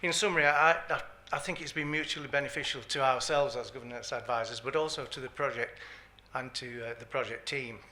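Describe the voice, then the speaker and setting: A man's voice: mid-range voice, fairly even intonation, brisk, few pauses, sharp tone. A man speaking at a conference as a patient governance advisor, hesitant, with frequent ums and uhs. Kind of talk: conference talk. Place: conference hall.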